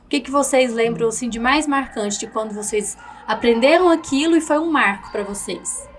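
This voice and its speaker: A woman's voice: a little high, normal speed, a little hiss or hum. A woman with a Brazilian Portuguese accent, a school coordinator hosting a school podcast and patiently asking children questions.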